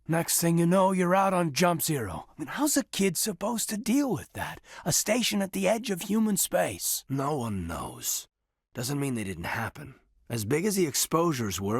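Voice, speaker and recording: An actor playing an earnest, guarded military officer in a video game, with a husky voice and a respectful, formal manner. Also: male voice, on the high side, no echo, studio quality, exaggerated voice. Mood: frustrated, then reflective, matter-of-fact. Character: earnest, guarded young soldier with biotic powers, a loyal officer.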